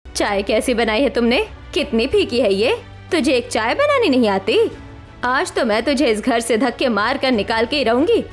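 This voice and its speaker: A woman's voice: fairly high pitch, animated, normal speed, faint music behind, lightly acted. A woman voicing a scheming, bossy, cruel middle-aged mother-in-law in a cartoon family drama. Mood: harsh, scornful.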